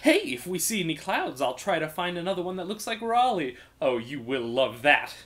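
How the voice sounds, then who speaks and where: A man's voice: on the high side, sing-song, normal speed, fairly bright tone, voice pushed up. A man voicing a relentlessly cheerful, rambling wandering minstrel with a sing-song delivery, in a storybook reading. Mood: delighted, friendly.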